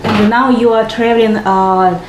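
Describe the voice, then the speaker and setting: A woman from Kazakhstan with a Kazakh accent, hosting a casual interview in halting, simple English with many short "mm-hmm" replies. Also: a little high. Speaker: vlogger host interviewing a guest. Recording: echoey room with steady background noise.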